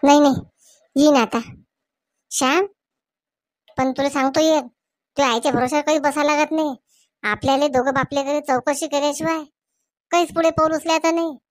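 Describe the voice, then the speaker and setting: A woman voicing a cautious village father in a comedy cartoon, measured and advising. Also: high-pitched, fairly even intonation, dry recording, studio quality, lightly acted.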